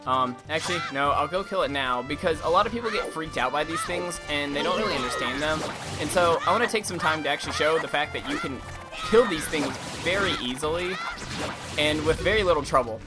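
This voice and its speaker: A young man with a slight lisp giving casual, rambling commentary over a video game, swearing freely and now and then breaking into silly high voices. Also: lively intonation, brisk. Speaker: let's play commentator. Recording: game music loud behind voice.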